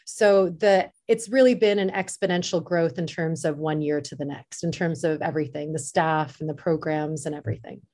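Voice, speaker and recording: A woman's voice: deep voice, steady tone, moderate pace, few pauses, a little mellow. A woman speaking as a festival director in an interview, explaining things casually in a candid, emphatic way.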